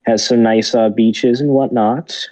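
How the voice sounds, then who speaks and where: A man's voice: mid-range voice, steady tone, unhurried, mellow. A teenage boy chatting casually and joking around on a podcast, hesitating often with fillers. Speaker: podcast co-host. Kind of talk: casual chat. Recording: dull lo-fi sound.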